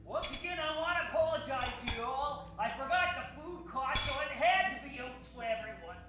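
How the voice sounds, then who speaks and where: A man's voice: extremely high pitch, lively intonation, fairly quick. A man playing an apologetic, bumbling trail cook in an improvised comedy radio western. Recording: distant mic, echoey stage, music behind.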